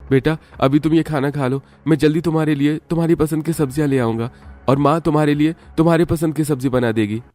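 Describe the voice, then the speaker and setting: A man's voice: a little high, fairly even intonation, fast, a little mellow, close to an everyday voice. A man playing a poor family father in a cartoon story, fretful but caring, speaking earnestly. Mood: caring, reassuring. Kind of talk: Hindi cartoon story voice acting.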